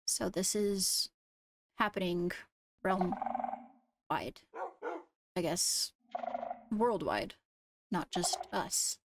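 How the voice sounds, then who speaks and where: A woman playing a composed court leader in a Minecraft roleplay, speaking formally and haltingly as she gives a briefing. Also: on the low side, expressive, noisy background, exaggerated voice. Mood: measured, serious. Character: measured female court leader briefing on attacks. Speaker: roleplayer leading a court meeting.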